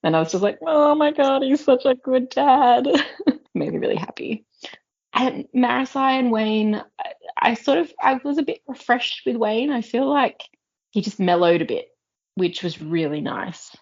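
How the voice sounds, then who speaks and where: A woman's voice: a little high, expressive, unhurried, a little mellow, slightly rough, dull audio. A woman giving her take as a panelist in a casual book discussion, informal and appreciative. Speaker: podcast panelist.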